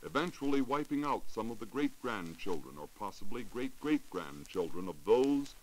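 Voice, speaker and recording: A man's voice: on the low side, very animated, normal speed, poor audio. An American man giving an earnest, scripted science report in 1940s radio style. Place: radio studio. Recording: hissy 1940s radio recording.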